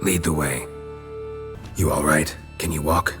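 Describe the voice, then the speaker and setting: A stern, world-weary male monster hunter from a fantasy game. His voice is very low and gravelly, and he talks in terse, deadpan phrases. Music plays clearly behind him. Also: measured pace. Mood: grave, firm. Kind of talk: video game voice acting.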